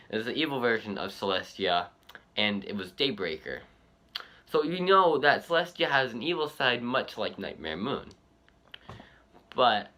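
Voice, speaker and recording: A man giving casual, unscripted fan commentary as a reaction video host, halting and repeating himself as he goes. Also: medium pitch, bright, forceful tone.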